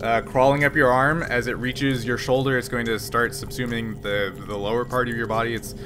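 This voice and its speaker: A man narrating as game master of a tabletop role-playing game, halting and restarting often as he describes the action. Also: mid-range voice, little pitch movement, background noise. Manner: halting, filler-heavy narration with frequent restarts.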